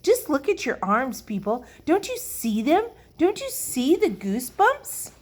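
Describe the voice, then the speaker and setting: A woman's voice: mid-range voice, lively intonation, unhurried, pitched above the performer's own voice. A woman voicing a vain, bossy little schoolgirl in a storybook, with a gushing, self-important, emphatic manner. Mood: impatient, insistent.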